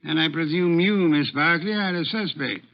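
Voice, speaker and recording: An actor playing a brusque, pedantic private detective in a vintage radio drama, speaking formally and precisely with a gravelly, pompous delivery. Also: male voice, fairly high pitch, normal speed. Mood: brusque, inquisitive. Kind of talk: 1940s-50s radio drama acting. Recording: dull vintage radio recording.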